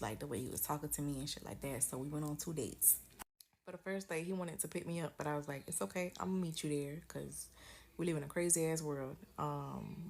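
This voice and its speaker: Low, gravelly woman telling a story. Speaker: storyteller vlogger. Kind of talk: casual storytime.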